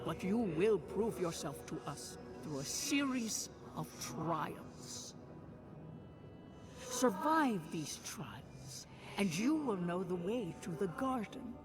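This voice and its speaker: An actor playing an ancient, solemn guardian spirit of a fallen people in a video game, speaking formally and with measured gravity. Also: mid-range voice, big pitch swings. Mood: commanding. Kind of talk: scripted dramatic game narration. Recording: game music behind. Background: clear background music.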